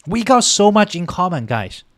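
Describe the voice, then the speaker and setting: A man's voice: high voice, very animated, normal speed, creaky, no room sound, studio quality. A man hosting a political livestream, ranting with passion, repeating himself and thanking viewers in chat. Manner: impassioned, repetitive, thanks chat viewers by name. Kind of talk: heated political rant on a livestream.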